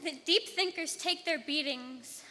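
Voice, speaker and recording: A teenage woman delivers slam poetry in a rhythmic, emphatic cadence, her voice high and very bright. The sound rings with the long reverb of a large hall. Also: measured pace. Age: teens. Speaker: slam poet. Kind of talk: spoken word duet performance. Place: auditorium stage.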